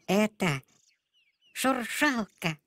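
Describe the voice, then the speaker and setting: An actor voicing a big, ponderous cartoon elephant with a slow, deliberate manner. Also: mid-range voice, lively intonation, fairly bright tone, a little hiss or hum. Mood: thoughtful. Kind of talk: children's cartoon dialogue.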